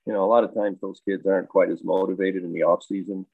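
A man with a low, gravelly voice, talking like a high school baseball coach who circles his points with fillers and restarts. The sound is thin and phone-like, and the room is dry with no echo. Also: fairly even intonation, brisk. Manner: thoughtful, rambling with many fillers and restarts. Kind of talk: casual interview answers. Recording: dry, tinny phone-like line.

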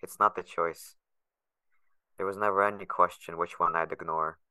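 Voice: deep voice, steady tone, normal speed, pushed and bright, clean tone, dry recording, rough recording. An actor playing a sardonic, guarded young adult patient held in a facility, narrating in the first person with bitter sarcasm. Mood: bitter, subdued.

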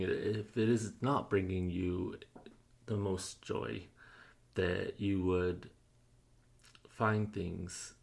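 A man's voice: on the low side, gravelly, darkish tone. A man hosting a casual interview podcast, upbeat and encouraging, with many fillers and small laughs. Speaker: podcast host.

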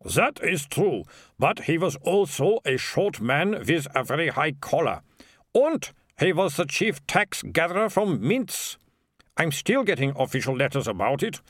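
Guy doing a flustered pompous mayor from a storybook, blustery, voice pitched up and all over the place. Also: a bit bright, no room sound, clean studio sound, full character voice. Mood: exasperated. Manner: blustering, fussy, long-winded. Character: flustered, pompous small-town mayor, exasperated by his daughter.

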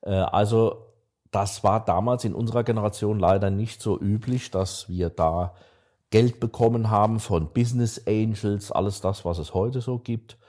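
A man in his sixties hosting a podcast, telling stories in a relaxed conversational way and acting out short quoted exchanges. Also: on the low side, normal speed. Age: 60s. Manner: relaxed storytelling, mimics a bank clerk in quoted anecdotes. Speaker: podcast host, investor.

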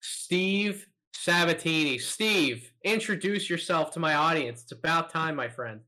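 A man's voice: fairly high pitch, unhurried, pushed and bright. A man hosting a casual sports podcast, upbeat and a little stammery as he leads the talk. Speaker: podcast host.

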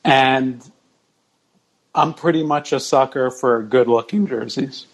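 A man chatting casually as a podcast guest, measured and explanatory. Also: mid-range voice, poor audio. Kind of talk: casual chat.

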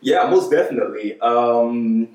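A man's voice: mid-range voice, animated, measured pace, a little mellow, far from the mic. A young man from Montreal whose first language is French, chatting as a podcast guest with lots of fillers and laughter. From Montreal, Canada. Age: young adult. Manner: laid-back, laughing, many fillers like "you know". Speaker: guest, church youth president. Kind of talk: casual podcast chat. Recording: roomy, off-mic sound.

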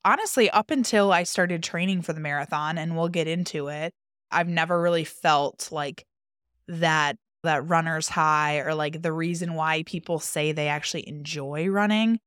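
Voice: medium pitch, normal speed, fairly bright tone, clean tone, dry recording. Reflective podcast co-host, dry close sound. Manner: conversational, reflective, frequent fillers. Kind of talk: casual chat.

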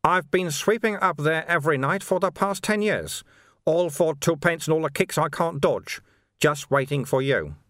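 A man doing a higher-pitched, wily old monk. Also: moderate pace, a bit bright, no room sound, clean studio sound, natural voice. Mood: cheerful, matter-of-fact. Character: cheery, wily, skinny old bald monk posing as a sweeper.

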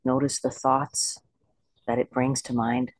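A meditation teacher leading a guided body scan in slow, unhurried phrases with pauses. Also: mid-range voice, flat delivery, dry recording.

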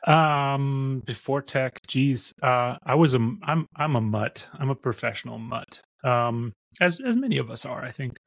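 Gravelly man chatting as a podcast guest. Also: mid-range voice, animated, unhurried, hardly any pauses, fairly bright tone, dry recording. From born in Austria, raised in Missouri. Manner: rambling, self-deprecating, laughs often. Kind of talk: casual interview chat.

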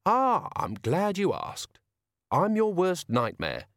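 Guy doing a creepy cheerful villain for an audiobook, polite as anything, high voice, super dry sound. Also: animated, moderate pace, no echo, natural voice. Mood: cheerful menace. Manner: courteous, childishly cheerful, menacing. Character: chillingly cheerful, polite, soft-menacing male assassin boss.

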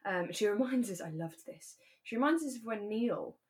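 A British woman with an average-pitched voice talks as a podcast co-host. Her style is relaxed, informal chat, talkative and easily amused. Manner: chatty, quick to laugh. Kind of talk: relaxed casual chat about a radio drama.

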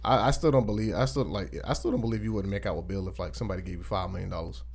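A man's voice: sits low, fairly even intonation, racing. A man in his late twenties bantering casually in a slangy, profane, teasing way. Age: late 20s. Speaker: podcast co-host.

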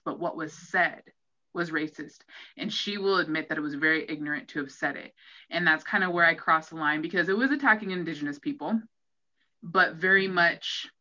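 Bright-voiced woman podcast host. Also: lowish voice. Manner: animated, acts out her own past thoughts. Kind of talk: casual interview chat. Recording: dull lo-fi audio.

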